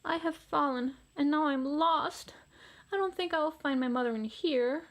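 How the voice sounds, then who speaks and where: Earnest little boy T. rex for a storybook, played by a woman, high voice, small and determined. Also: moderate pace. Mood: pleading. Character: tiny young male T. rex, earnest and determined.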